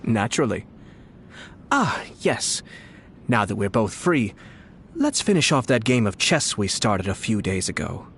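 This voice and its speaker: An actor playing a composed, shrewd young nobleman in a game, courteous and measured, with polished formal speech and a quietly scheming, teasing air. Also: on the low side, sing-song, a little hiss or hum, full character voice. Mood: gently amused. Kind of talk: scripted game dialogue.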